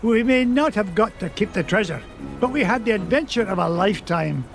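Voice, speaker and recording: A man playing an elderly, wealthy duck uncle with a Scottish accent, brisk and chuckling, over game music. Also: high-pitched, expressive, vocal fry. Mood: fond. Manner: brisk, brogue-tinged, chuckling. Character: elderly rich Scottish duck uncle, gruff but fond.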